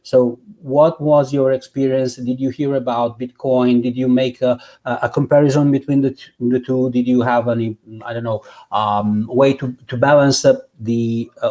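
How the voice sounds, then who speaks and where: A man's voice: medium pitch, mellow, rough recording. A man hosting a casual interview, chatty and self-deprecating, often stumbling over his words. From Italy. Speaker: host.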